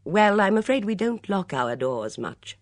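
A woman voicing a composed, brisk, polite middle-aged lady of the house in an audiobook, with a controlled manner. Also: mid-range voice, very animated, dry recording, studio quality, voice pushed up. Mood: cheerful, composed.

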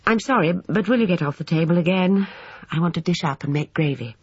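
A woman voicing a brisk, capable female cook who gives direct instructions in an audiobook. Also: on the low side, expressive, breathy edge, voice pushed up. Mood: brisk, practical. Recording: dull, roomy, echoing sound.

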